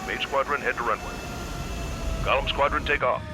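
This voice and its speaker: A man playing a brisk, commanding military air traffic controller in a video game, giving clipped orders. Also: mid-range voice, natural voice. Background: loud music and aircraft.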